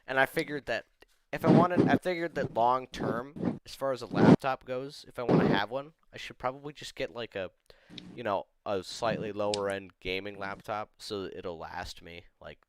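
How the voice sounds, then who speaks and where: A man's voice: low-pitched, background noise. A young man chatting casually on a stream, rambling with lots of "like" and giving blunt opinions. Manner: rambling, opinionated, heavy use of "like". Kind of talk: casual chat.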